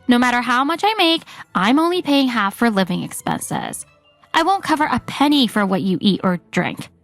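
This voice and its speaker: A calm, self-assured wife, voiced by a woman in a manga dub. She answers her husband coolly and lets her quiet composure do the cutting. The voice is fairly high, with faint music underneath. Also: moderate pace, no room sound. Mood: composed.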